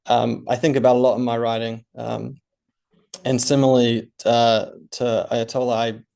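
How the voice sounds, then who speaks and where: Gravelly, hesitant man writer. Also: medium pitch, flat delivery, moderate pace, a little mellow. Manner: hesitant, many ums and restarts. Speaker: panelist writer. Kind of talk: panel discussion answer.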